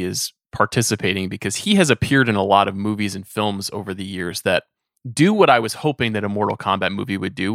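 A man's voice: fairly low pitch, fairly bright tone, no echo, studio quality. A man hosting a podcast in a casual, conversational style, talking things over with guests. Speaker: podcast host.

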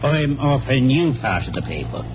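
An actor playing a huffy, pompous older small-town doctor in 1940s radio style. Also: male voice, mid-range voice, very animated, normal speed, background noise, natural voice. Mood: indignant, huffy. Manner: pompous, fussy, exclamatory. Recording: old radio recording with music.

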